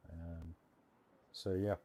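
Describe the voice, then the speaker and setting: A man's voice: low voice, little pitch movement, extremely slow, dark tone. A British man hosting a casual game live stream, chatting in a chummy way and calling viewers mate and bud. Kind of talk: casual live stream chat over a theme park building game.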